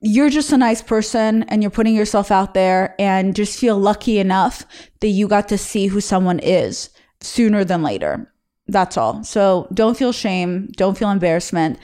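A woman's voice: medium pitch, normal speed, warm tone. A woman hosting a confession show, reacting bluntly with swearing and giving encouraging advice. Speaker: host. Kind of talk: casual reaction talk.

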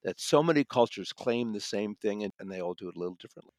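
A man's voice: lowish voice, animated, fast, darkish tone, no echo. Thoughtful man reviewing books, close dry sound. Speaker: audiobook reviewer and guest critic. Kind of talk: conversational book discussion.

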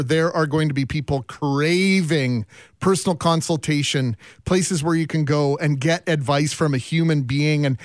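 A man's voice: on the high side, no echo, clean studio sound. A man co-hosting a morning radio show, speaking articulately about business and customer service. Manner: articulate, reads listener texts aloud. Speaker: radio co-host. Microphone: studio mic, separate room.

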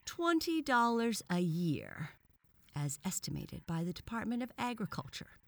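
A woman's voice: lowish voice, lively intonation, slow pace, darkish tone, no echo, voice pushed up, full character voice. A woman voicing a pompous, severe male frog professor who lectures with scorn in a children's storybook. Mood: triumphant.

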